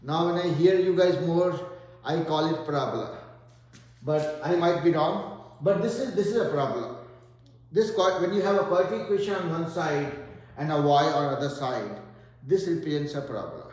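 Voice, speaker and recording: A man's voice: a little high, normal speed, darkish tone. Indian man tutoring math in an echoey room. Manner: explains step by step, thinks aloud while working. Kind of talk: whiteboard math lesson.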